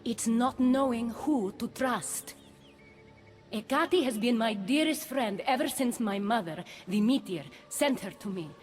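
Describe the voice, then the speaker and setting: Casting: suspicious, regal goddess, fairly high voice, game drama, faint music. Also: female voice, animated, unhurried. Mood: brooding. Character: imperious, suspicious goddess queen of a mythic paradise. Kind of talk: video game voice acting.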